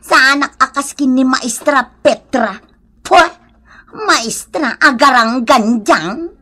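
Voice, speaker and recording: A woman with a northern Philippine accent playing a nosy, sharp-tongued housewife in a comedy radio drama. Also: high-pitched, slow, heavily acted. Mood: grumbling. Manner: gossipy, sardonic, exclamatory.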